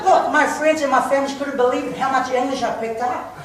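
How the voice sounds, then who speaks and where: A man telling a funny personal story in a humorous speech contest, pausing for laughs. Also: extremely high pitch, steady tone, crowd noise. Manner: telling a comic story to an audience with pauses for laughs. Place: large hall, public speaking contest. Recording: echoey hall from far off, noisy. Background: audience laughter.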